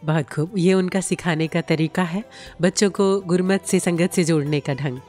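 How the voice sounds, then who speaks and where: Woman, slightly low pitch, radio host, conversational interviewing, attentive and affirming, faint background music. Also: fairly even intonation, moderate pace, darkish tone.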